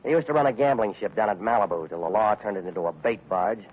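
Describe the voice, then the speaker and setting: A man's voice: on the low side, fast talker, natural voice. An actor playing a surly, combative American tough guy in a 1940s radio crime drama, curt and slangy. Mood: bitter, defensive. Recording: tinny phone-like vintage radio recording.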